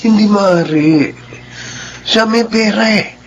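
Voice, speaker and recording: A man with a Filipino accent playing a smooth-talking, secretive married lover in a drama. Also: sits high, slow, muffled recording, slight background noise. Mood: evasive, coaxing. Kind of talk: dramatized Filipino radio-style drama acting.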